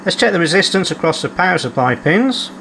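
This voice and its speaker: A man's voice: high-pitched, sing-song, a little fast, gravelly, bright, forceful tone, a little hiss or hum. A man hosting a repair video, methodically talking through his workshop fault-finding and reading out measurements as he goes. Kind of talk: calm narrated workshop repair. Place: home workshop.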